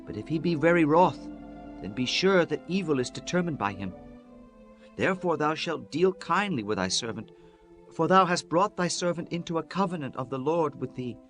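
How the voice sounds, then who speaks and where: Casting: male actor for loyal young biblical hero, higher voice, earnest and pleading, gentle music beneath. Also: animated, moderate pace. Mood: anxious, pleading. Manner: earnest, pleading, archaic diction. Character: earnest young men of ancient Israel, loyal friends. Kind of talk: dramatized scripture acting.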